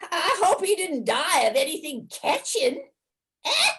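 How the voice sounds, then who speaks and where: A sly old junk dealer, grudging and pleased with himself played by a woman. The voice is high and swoops freely, with slow, wry, theatrical phrasing for a storybook reading. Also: big pitch swings, a bit bright, heavily acted. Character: sly old male rag dealer, grudging, self-satisfied haggler. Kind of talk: dramatic reading of a classic story.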